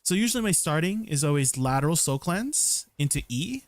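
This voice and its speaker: A man's voice: a little high, lively intonation, fairly quick, no echo. A young man casually commenting on his gameplay during a livestream, explaining combos and answering viewers, often ending sentences with "right?". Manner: chatty, answers viewers' questions. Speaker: game streamer.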